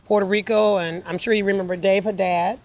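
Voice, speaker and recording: Older lady just chatting away telling work stories, acts out the quotes, middle pitched voice. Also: fairly even intonation, a little fast, a little mellow. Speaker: interview guest, former air traffic controller. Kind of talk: casual anecdotal storytelling.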